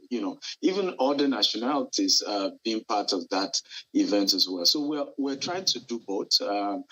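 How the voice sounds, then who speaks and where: A man's voice: fairly low pitch, sharp tone, low-quality recording. A man from Nigeria with a Nigerian accent, an entrepreneur guest talking earnestly in a live TV interview, with frequent restarts and fillers. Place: Dubai.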